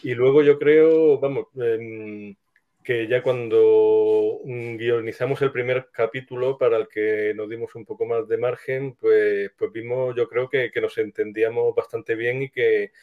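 A man with an accent from Spain talking casually as an interviewed scriptwriter, thinking aloud with hesitant pauses. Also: mid-range voice, steady tone. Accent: Spanish from Spain. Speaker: scriptwriter guest being interviewed. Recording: tinny, phone-like line.

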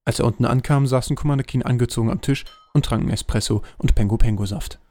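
A man's voice: mid-range voice, fast, warm tone, slight background noise. A man narrating a scripted comic fantasy audio drama as its storyteller.

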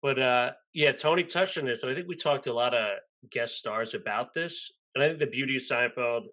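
A man chats as a podcast interviewer. His voice is mid-pitched and bright, and he puts his questions in a loose, casual way. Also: fairly quick.

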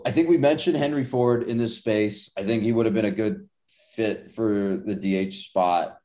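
Low-voiced young man, wry sports chat. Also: moderate pace, mellow. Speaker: podcast co-host. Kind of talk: casual sports podcast chat.

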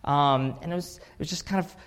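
Preacher, man in his 30s, from Russia, rambling anecdotal delivery, fairly high voice. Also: clean tone. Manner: halting storytelling with many fillers and restarts. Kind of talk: scripture reading then personal story sermon.

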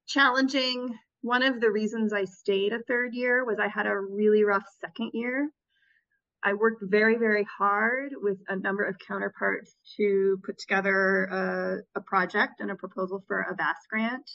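A woman in her thirties answers interview questions in a relaxed, reflective way. She pauses and restarts often as she works through her memories. The recording sounds a little dull and lo-fi. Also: mid-range voice. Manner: reflective, with frequent restarts and fillers. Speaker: interview guest, former Peace Corps volunteer.

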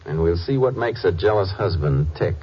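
Low, probing Texas lawman, vintage radio. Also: lively intonation, over loud music. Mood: thoughtful. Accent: American. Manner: measured, laconic, questioning. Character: steady, probing Texas Ranger investigator. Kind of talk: 1950s radio drama acting. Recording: muffled vintage radio recording.

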